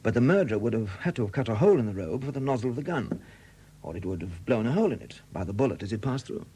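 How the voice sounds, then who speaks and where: Shrewd male detective superintendent, slightly husky, expressive pitch, insistent and courteous, 1970s radio drama, dated recording. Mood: methodical, insistent. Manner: probing, deliberate, courteous but insistent questioning.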